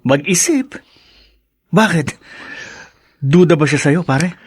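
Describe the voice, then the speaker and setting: A man's voice: a little high, expressive, slow pace, slightly rough, no room sound, full character voice. An actor playing a joking, blunt young male friend in a radio drama.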